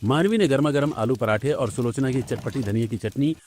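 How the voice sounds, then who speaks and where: A man's voice: mid-range voice, a little fast, darkish tone. A man reading scripted narration for a family cartoon story.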